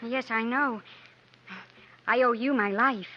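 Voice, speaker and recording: A woman's voice: on the high side, steady tone, slow. An actress playing an anxious, well-bred young lady in a 1950s radio drama, with a refined, earnest, dramatic manner. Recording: muffled vintage 1950s radio recording.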